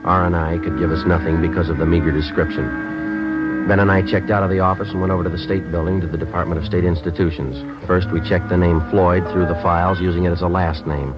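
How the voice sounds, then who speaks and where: Guy doing a flat deadpan cop sergeant, deep voice, fast, old radio sound with music. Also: muffled recording, natural voice. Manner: clipped, matter-of-fact police questioning. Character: terse male police sergeant narrating a case. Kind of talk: 1950s radio drama acting and narration.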